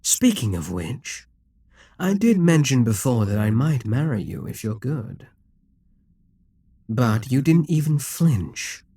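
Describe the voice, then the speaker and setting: A man's voice: mid-range voice, very animated, slow, dark tone, breathy edge, dry recording, exaggerated voice. A man voicing a possessive, scheming male demon king in an audio roleplay, teasing and condescending.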